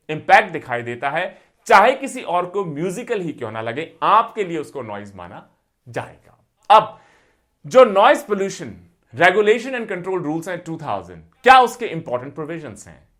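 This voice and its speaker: Animated higher-pitched man giving a lecture. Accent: Indian. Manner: didactic, repeats and lists points for emphasis. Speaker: teacher for civil service exam aspirants. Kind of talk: explanatory lecture mixing Hindi with English terms.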